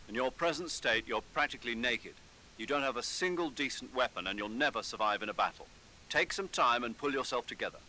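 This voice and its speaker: A man's voice: medium pitch, sharp tone, a little hiss or hum, rough recording. An actor playing a businesslike, cautionary male mission commander giving clipped instructions in a video game briefing.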